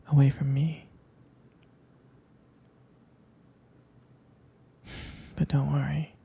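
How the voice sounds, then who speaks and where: A very dark, mellow voice sunk in gravelly fry, a man playing a jealous but devoted boyfriend in an ASMR roleplay. He murmurs slowly and hesitantly, close to the mic, often dropping into a whisper. The delivery is intimate, tender and protective. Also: on the high side, heavily acted. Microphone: close microphone.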